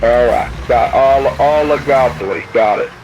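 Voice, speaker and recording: A man's voice: medium pitch, moderate pace. A man chatting casually over a game's CB radio, rambling and repeating words for emphasis. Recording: dull radio sound, loud vehicle noise. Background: truck and road sounds.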